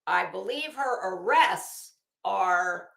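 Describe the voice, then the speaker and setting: A woman's voice: medium pitch, animated, takes it slowly, gravelly, a bit bright. A woman reading a document aloud with running commentary, sardonic and incredulous, with blunt, casual swearing. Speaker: host of commentary show. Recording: roomy, echoing sound.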